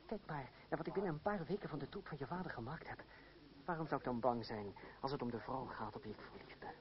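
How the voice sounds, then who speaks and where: A man's voice: mid-range voice, fast talker, lightly acted. A gallant, mocking rogue played by a man speaks with courtly irony and theatrical flair. It is old radio drama, heard on a dull recording with crowd noise behind. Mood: ardent, earnest. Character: witty, gallant, mocking rogue actor in love.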